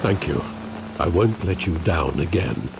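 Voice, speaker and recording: An actor playing a loyal elderly male butler, speaking in a measured, formal, grave way. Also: low-pitched, expressive, vocal fry, noisy background, heavily acted. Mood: reflective. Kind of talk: video game cutscene acting. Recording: game audio with rain ambience. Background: rain and ambience.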